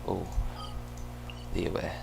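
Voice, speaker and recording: A man's voice: very slow, over music. A man with a Filipino accent, whose first language is Cebuano, chatting casually while he plays a game, rambling with lots of fillers and self-corrections. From Philippines. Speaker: gaming streamer.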